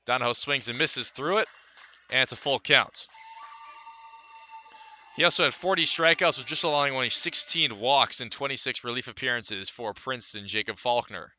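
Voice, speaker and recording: A man's voice: mid-range voice, normal speed, bright, forceful tone. A man calling live baseball play-by-play for radio. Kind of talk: live radio sports commentary.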